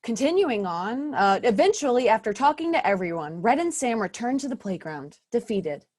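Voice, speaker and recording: A woman voices a wry storybook narrator with a playful touch. Her voice is fairly high and very lively in its rise and fall. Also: moderate pace. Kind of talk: amateur theatre narration.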